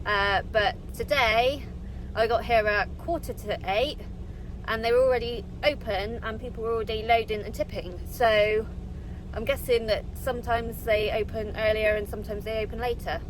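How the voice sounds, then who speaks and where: A woman's voice: a little high, fairly even intonation, normal speed. Chatty British woman over vehicle noise. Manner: chatty, explanatory. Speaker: bulk tipper lorry driver and vlogger. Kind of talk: casual vlog commentary. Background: engine and vehicle noise.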